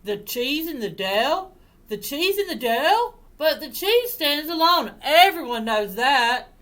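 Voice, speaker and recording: A woman voicing an excitable, slobbery, dim-witted male dog in a storybook, blustering and repeating himself. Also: sits high, takes it slowly, voice pushed up, full character voice. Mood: incredulous.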